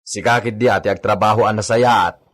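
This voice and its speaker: A man's voice: lowish voice, steady tone, a little fast, a bit bright. A man with an Ilocano accent acting a hardworking villa servant and former gardener in a radio drama, bantering with emphatic exclamations. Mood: earnest.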